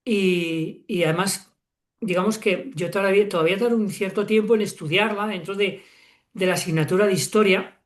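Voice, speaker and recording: A man's voice: high voice, normal speed. A man in his sixties from Spain, a guest author talking reflectively in an interview, with frequent fillers and self-corrections. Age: 60s. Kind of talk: relaxed interview conversation.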